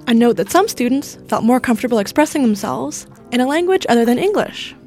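A woman hosting, reading a scripted narration with a clear, even presenter delivery. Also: fairly high pitch, a little fast, faint music behind. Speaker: podcast host.

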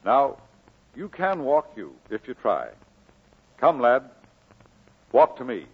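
An actor playing a kindly, earnest, encouraging minister in an old radio drama, exhorting in a solemn, measured way. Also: male voice, mid-range voice, huge pitch swings, creaky, husky, exaggerated voice. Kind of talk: 1940s radio drama acting. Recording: muffled old radio broadcast.

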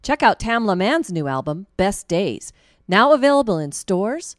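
A woman's voice: medium pitch, sing-song, moderate pace, no room sound, studio quality. A woman reading a scripted promotional announcement as a sponsor announcer.

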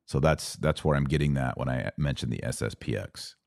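A man's voice: extremely low pitch, brisk, vocal fry, no room sound. A man hosting a livestream podcast, reading viewer comments aloud and answering them in an earnest, conversational way that rises to indignant emphasis. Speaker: podcast host.

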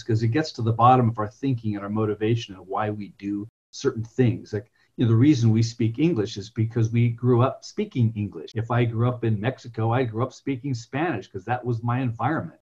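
A husky-voiced man in his fifties, originally from California, talks at length as an interview guest, wandering through anecdotes and often correcting himself mid-sentence. His voice sits at an ordinary pitch, and the recording sounds dull and muffled. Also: a little mellow. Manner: rambling, self-correcting, anecdotal. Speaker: guest, software entrepreneur. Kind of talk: conversational interview storytelling.

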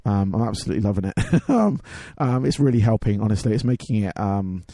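A hoarse young man hosting his radio show and podcast, chatting casually with lots of ums and restarts. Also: lowish voice, animated, a little fast, mellow, dry recording, studio quality. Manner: rambling, many ums and restarts. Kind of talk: casual chat.

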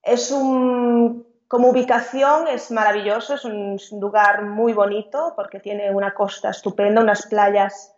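A woman's voice: mid-range voice, fairly even intonation, unhurried. Lady, a teacher chatting in an interview, lots of ehs, kinda muffled and echoey. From Vigo, Galicia, Spain. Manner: chatty, hesitant fillers, light laughs. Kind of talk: casual conversational interview. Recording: roomy, dull lo-fi sound.

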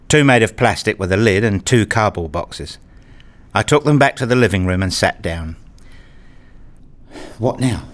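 A man reading scripted first-person narration for an audio play, slipping into acted dialogue as a father. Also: lowish voice.

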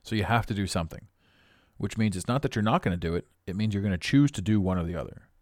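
A middle-aged man with a raspy voice, hosting a podcast with a blunt, preachy motivational monologue. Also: on the low side, fast, a little mellow, no room sound, studio quality. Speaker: podcast host.

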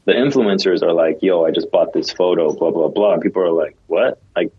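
Deep husky man on a muffled line. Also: brisk. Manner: rambling, full of "like" and restarts. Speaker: podcast co-host. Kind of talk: casual podcast chat.

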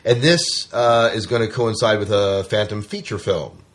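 A man with a fairly low, noticeably husky voice hosts a podcast. He talks casually and chattily, in the style of informal entertainment news, often turning to questions. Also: expressive, normal speed, fairly bright tone. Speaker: podcast host. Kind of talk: casual sci-fi news chat.

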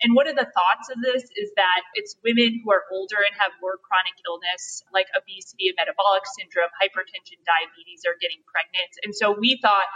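A young woman cardiology fellow, from Hungary and raised in the US, explaining a case clearly. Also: mid-range voice, fairly even intonation, fairly quick, few pauses, pushed and bright. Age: young adult. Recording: dull, slightly distant mic.

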